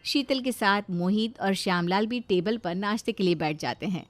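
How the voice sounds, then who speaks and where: A woman's voice: medium pitch, moderate pace, a little hiss or hum. A woman reading scripted narration for a family moral story.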